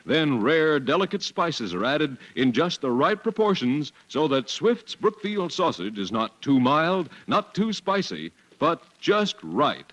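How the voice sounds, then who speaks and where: Animated male old-time radio announcer. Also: fairly high pitch, a little slow, few pauses, rough recording. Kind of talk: scripted 1940s radio commercial and narration.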